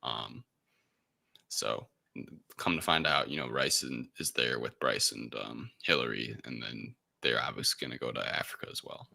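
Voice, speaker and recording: A man with a very low, fry-laden voice and a strikingly bright, forceful edge hosts a casual podcast. He retells events conversationally, often pausing and searching for the right words. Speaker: podcast host. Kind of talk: casual spoken movie plot recap.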